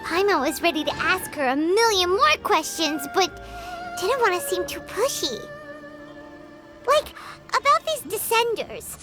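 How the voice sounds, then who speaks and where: A woman voicing a tiny, chirpy, childlike fairy companion in a video game, excitable, curious and chatty. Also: very high voice, a little slow, music in the background, heavily acted. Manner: exclamatory, chatty.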